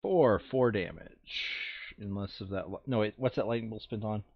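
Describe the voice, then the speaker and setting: A man's voice: on the low side, normal speed, vocal fry, highs cut off, a little hiss or hum. A man playing a board game over voice chat, thinking aloud casually and muttering counts and rules to himself.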